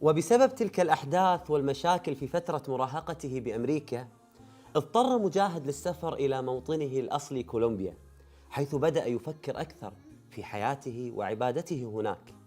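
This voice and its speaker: Male documentary narrator, rapid and matter-of-fact, soft music underneath. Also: medium pitch, expressive. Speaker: narrator and interviewer.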